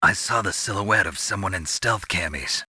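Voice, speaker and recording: A man playing a gruff, sardonic, tough covert-ops soldier in a video game, with a gravelly voice and terse, wry delivery. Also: sits low, moderate pace, sharp tone, heavily acted. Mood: grim, determined. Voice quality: gravelly, raspy.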